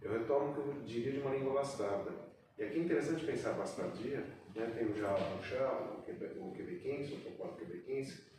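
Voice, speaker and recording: A man from Brazil, a poet on a literary panel, telling a story in a measured, narrative way, recorded from a distance in an echoey room. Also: medium pitch, darkish tone, slight background noise.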